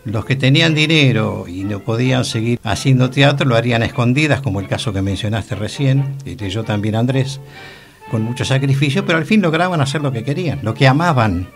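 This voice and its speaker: A man's voice: medium pitch, brisk, creaky. A man with a Rioplatense Argentine accent, chatting casually as a radio co-host in a studio. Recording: music playing behind the voice. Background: music.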